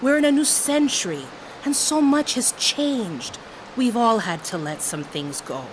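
A woman's voice: a little high, lively intonation, measured pace. A woman playing a stern, nagging middle-aged mother in an audio drama. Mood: stern, reproachful. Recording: faint ambient background noise.

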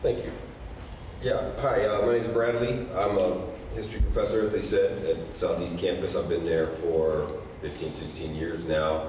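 A man's voice: low-pitched, level tone, moderate pace, darkish tone. A man in his forties, a history professor informally introducing himself on a panel. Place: college event room, seated at table. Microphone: handheld microphone. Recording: echoey hall, distant mic, faint noise.

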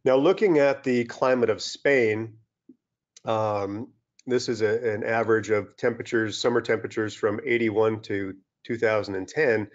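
A middle-aged man from Western Canada giving a relaxed webinar lecture over slides from a small room, with many fillers and restarts. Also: lowish voice, normal speed. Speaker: turfgrass scientist presenting a webinar. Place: small indoor room, video call. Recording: dull lo-fi video-call audio.

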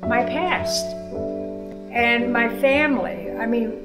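A woman in her eighties whose first language was Italian, recalling her childhood in a slow, candid documentary interview in a living room. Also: fairly high pitch, lively intonation, reverberant, music in the background, poor audio. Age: 80s.

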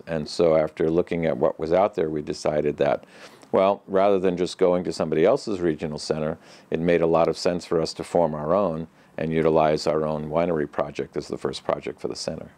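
An older man with a very low voice gives steady, matter-of-fact answers, pausing on small fillers and restarting phrases. The sound is dry and close, picked up by a clip-on mic in an office. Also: no echo. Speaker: regional center president, interview guest. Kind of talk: business interview answers. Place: office with bookshelves, indoors. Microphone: lapel mic.

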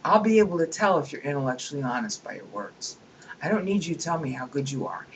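A woman's voice: sits low, big pitch swings, fast talker, a little hiss or hum, poor audio. Emphatic woman reading aloud with scornful asides. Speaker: reader and commentator. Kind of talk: reading a book aloud with commentary.

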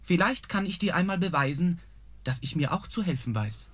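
An actor playing a young prince who calmly and earnestly reassures his father. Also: on the high side, normal speed. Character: young prince, calm and clever son.

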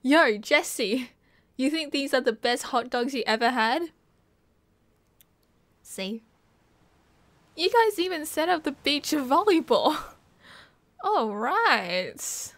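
A woman's voice: sits high, sing-song, takes it slowly, long pauses, a bit bright, no echo, full character voice. A young woman with an Australian accent playing a cheerful, teasing partygoer in a casual ASMR roleplay, chatting in playful slang. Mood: playful, upbeat. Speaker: roleplay voice actor. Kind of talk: casual ASMR roleplay, one side of a chat.

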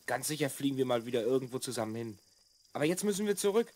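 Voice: mid-range voice, lively intonation, fast, a little mellow, close to an everyday voice. An actor playing a confident boy time traveller from the future, eager and encouraging, in a children's audio drama.

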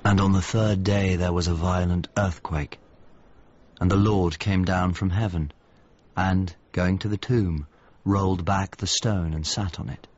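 An actor playing a melancholy, haunted painter in a radio drama, speaking in a reflective, halting, confessional way. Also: male voice, low-pitched, fairly even intonation, darkish tone, dull audio. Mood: solemn. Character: Mario, melancholy haunted painter, a grown man. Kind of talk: naturalistic radio drama acting and monologue.

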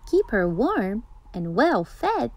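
A woman reading a children's picture book aloud as a storytime host, playful and deliberate, with dramatic emphasis. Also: on the high side, big pitch swings, a little mellow, no echo. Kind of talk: expressive children's read-aloud narration.

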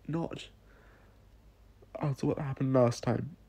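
A tender young woman full of grief, acted out by a man, speaks in a raised, husky voice. The delivery is halting and tearful, resigned, as in an audio drama. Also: mid-range voice, warm tone, pitched above the performer's own voice, heavily acted. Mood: tearful, pleading.

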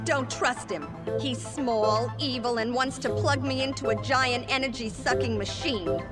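A woman's voice: on the high side, a little slow, natural voice. A woman voicing a perky, bossy pink girl ghost in a cartoon game, sassy and impatient. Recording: game music behind voice.

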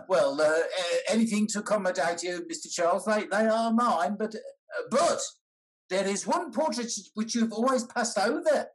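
An older gentleman moneylender of period stage comedy, played by a man. He is courteous and hesitant, halting over his words as he politely bargains. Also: sits high, natural voice, rough recording. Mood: hesitant, accommodating. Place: dim room indoors, video call.

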